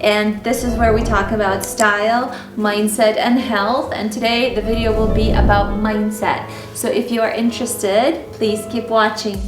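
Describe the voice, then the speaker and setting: A woman's voice: mid-range voice, little pitch movement, unhurried, hardly any pauses, far from the mic. A woman from Ukraine whose first language is Russian, telling personal stories to camera in a candid, conversational way with many fillers. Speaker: style and mindset vlogger. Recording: roomy echo with music bed.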